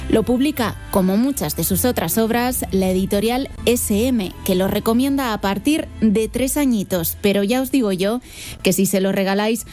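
A middle-aged woman from Spain hosting a children's radio show in a bright, friendly, upbeat way. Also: medium pitch, normal speed, faint music behind. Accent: Spain Spanish. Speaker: radio host.

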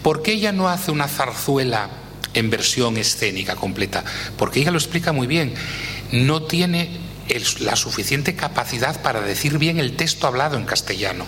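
Guy explaining stuff in an interview, bright forceful voice, keeps saying "eh?", reverb-y hall with faint hiss. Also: medium pitch, normal speed. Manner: animated, tags phrases with "¿eh?". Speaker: zarzuela expert guest. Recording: echoey hall with faint noise.

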